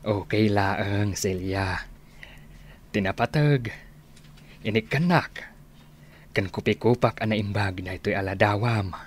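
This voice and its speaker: A man's voice: lowish voice, slow pace, long pauses, a little hiss or hum. An actor playing a sentimental older man sweetly wooing a woman in an Ilocano radio drama, with an Ilocano accent. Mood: tender, wooing.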